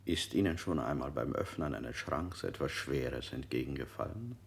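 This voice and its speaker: A man's voice: a bass voice, expressive, normal speed. An actor playing a cold male interrogator in a radio drama, firing unsettling questions with sudden leaps in his voice. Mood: probing.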